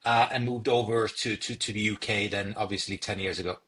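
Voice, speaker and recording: A man's voice: on the low side, fairly even intonation, brisk, a bit bright. A young man from Norway, a startup founder, answering interview questions with many fillers and repeated words. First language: Norwegian.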